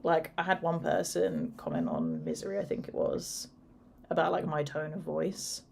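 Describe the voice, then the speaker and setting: A woman with a fairly deep voice, co-hosting a casual chat in a steady, fairly even manner. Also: moderate pace, creaky, slight background noise. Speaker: co-host.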